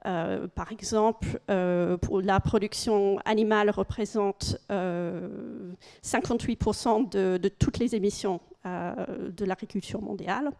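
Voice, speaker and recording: A woman's voice: mid-range voice, steady tone, a little slow, creaky, darkish tone. Woman with an English-speaking accent presenting. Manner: hesitant, frequent fillers and restarts. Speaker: conference speaker. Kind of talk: conference presentation with slides.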